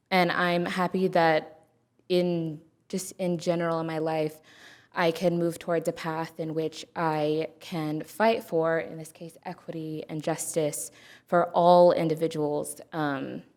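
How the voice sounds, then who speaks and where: Young woman, very low voice, flat delivery, sincere, pausing a lot. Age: young adult. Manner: sincere, many filler pauses. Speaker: city commission member. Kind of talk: public meeting remarks. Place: city council chamber.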